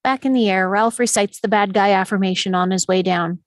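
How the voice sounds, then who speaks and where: A woman's voice: mid-range voice, brisk, dry recording. Woman narrating action, dry close sound. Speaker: stage directions narrator. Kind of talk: scripted narration at a table read.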